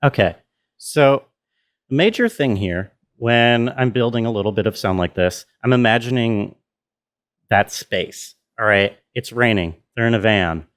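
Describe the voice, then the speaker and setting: A man with a fairly low voice gives a relaxed, informal walkthrough as a sound designer. He thinks aloud in a halting way and checks in with whoever is listening. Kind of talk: casual tutorial talk, thinking aloud.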